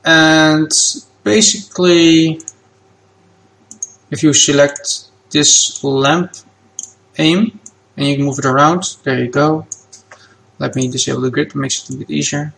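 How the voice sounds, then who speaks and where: A man whose first language is Dutch, talking through a software tutorial at his computer in a relaxed, plain step-by-step way. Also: on the high side, takes it slowly, gravelly. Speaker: game asset creator.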